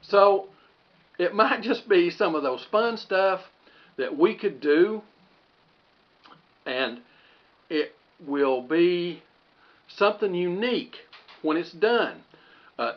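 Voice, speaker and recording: A man with a slightly high voice chats to his viewers in a folksy, unhurried ramble, working out his thoughts as he speaks. The recording is a little dull and muffled, like a homemade channel video. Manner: folksy, conversational, thinking aloud. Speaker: host of a homestead channel. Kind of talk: casual rambling vlog talk to viewers.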